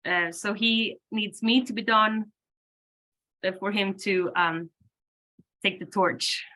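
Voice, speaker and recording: A woman whose first language is Swedish, a band singer and lyricist, answering interview questions thoughtfully, with many pauses and fillers and frequent laughs. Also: mid-range voice, steady tone, sharp tone. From Sweden.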